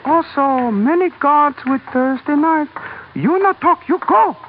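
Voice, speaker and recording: A man's voice: very high-pitched, expressive, unhurried, faint music behind, exaggerated voice. A man playing a menacing knife-carrying palace guard in old radio drama, speaking in clipped, broken pidgin English. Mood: curt menace. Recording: tinny, noisy old radio recording.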